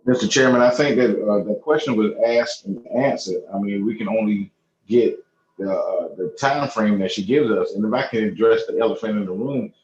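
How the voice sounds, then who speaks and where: A man speaking as a board member in a formal meeting, halting and repeating himself in a conciliatory way. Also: lowish voice, darkish tone, slight background noise. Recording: muffled, echoey online meeting audio.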